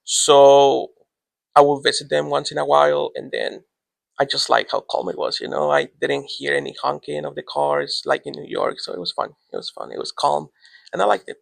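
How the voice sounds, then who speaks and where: A man whose first language is Spanish, a teacher answering interview questions in a relaxed, rambling way that trails off. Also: a little high, moderate pace, creaky, no room sound.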